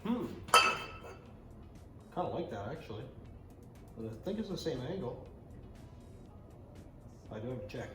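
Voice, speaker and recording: A man's voice: medium pitch, sing-song, unhurried, pauses often, roomy sound, loud music behind the voice. A man chatting casually as he hosts a DIY car build vlog, thinking aloud and muttering to himself as he works.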